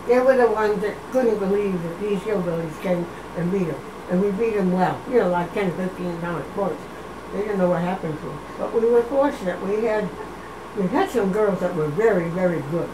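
A woman's voice: on the low side, moderate pace, roomy sound, distant mic, noisy background. An older woman telling proud, chatty stories of her college days in an interview. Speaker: alumna interviewee. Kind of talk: reminiscing interview.

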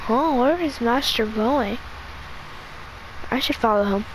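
A woman's voice: fairly high pitch, slow pace. A woman playing a young, questioning minion in an improvised video game roleplay, with a plain-spoken manner. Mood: obedient, questioning. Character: young hero turned obedient, questioning evil minion. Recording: very noisy home game recording.

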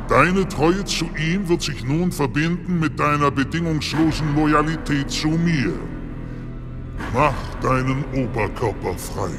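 An actor playing a menacing, gloating dragon demon who leads a cult, speaking solemnly and pompously in a radio play. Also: male voice, fairly high pitch, a little slow, close to an everyday voice. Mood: solemn, commanding. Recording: long cavernous reverb, music underneath. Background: dramatic music.

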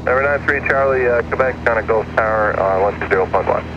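A man's voice: medium pitch, fast. A man working as an approach controller gives clipped instructions over aircraft radio. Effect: aircraft radio transmission. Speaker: approach air traffic controller. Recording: muffled, noisy aircraft radio with engine drone. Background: aircraft cabin noise.

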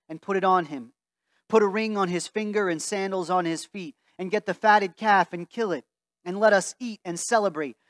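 High-voiced pastor reading scripture. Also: male voice, fairly bright tone, dry recording. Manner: earnest, reads aloud from a Bible while standing. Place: church sanctuary before a stained-glass wall.

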